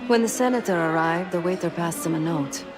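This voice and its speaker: A woman's voice: brisk, lightly acted. A woman playing a composed, sly undercover operative in a video game, speaking in a cool, confiding, businesslike way. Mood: cool, conspiratorial. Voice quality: low, creaky. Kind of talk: video game cutscene acting. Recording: loud music behind.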